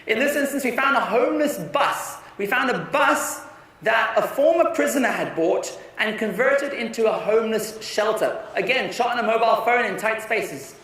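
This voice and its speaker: A young man giving a conference talk in an energetic, persuasive way, often restarting his sentences. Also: very high-pitched, moderate pace. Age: young adult. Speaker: conference speaker. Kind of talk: keynote talk. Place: large conference hall. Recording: echoey hall from far off.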